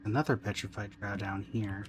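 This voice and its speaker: A man's voice: fairly low pitch, fairly even intonation, normal speed, a little hiss or hum. A man giving casual, dry, wry commentary as he streams a video game. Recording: music behind the voice. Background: video game music.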